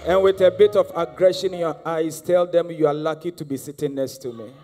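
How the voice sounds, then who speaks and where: High-voiced Ghanaian preacher in a big hall. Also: male voice, steady tone, fairly quick. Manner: exhorting, repeats calls for the crowd to answer. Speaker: guest prophet preacher. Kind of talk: charismatic sermon. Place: large church hall on stage. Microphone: handheld mic. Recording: live church hall with long reverb and music.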